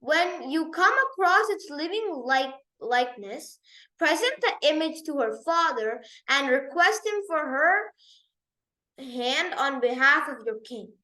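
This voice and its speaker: A woman reads as an aging storybook king, proud and commanding. The speech is stately and formal, slow, with lively intonation in an echoey room. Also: high-pitched, exaggerated voice. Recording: roomy, echoey sound.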